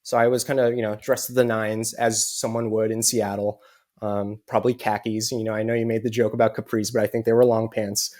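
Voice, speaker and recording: A man's voice: fairly low pitch, flat delivery, a little fast, darkish tone. An American man telling a personal story as a podcast guest, in an earnest way and with lots of "you know" fillers. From United States. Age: adult with children.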